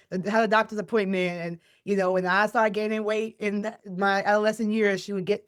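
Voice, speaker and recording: Woman from Chicago, playful and emphatic, slangy casual talk, wellness coach as guest, medium pitch, dry close sound. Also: no room sound. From Chicago, United States. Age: middle-aged millennial, 30s to 40s. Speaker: podcast guest, wellness coach and motivational speaker.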